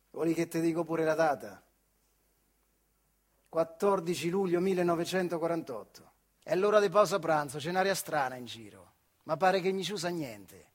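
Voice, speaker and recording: A man's voice: on the high side, husky. A man with a central Italian accent from the Marche delivering a colloquial theatrical monologue in dialect. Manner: colloquial, animated storytelling with acted voices. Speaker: stage actor and storyteller.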